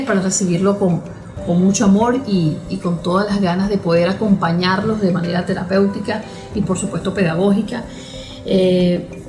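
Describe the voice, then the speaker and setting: A Venezuelan woman speaks in an explanatory, didactic way, in short measured phrases. Her voice sits at a middle pitch in an echoey room, with music playing behind her. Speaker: cognitive therapist. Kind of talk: explanatory interview talk. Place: therapy consulting room.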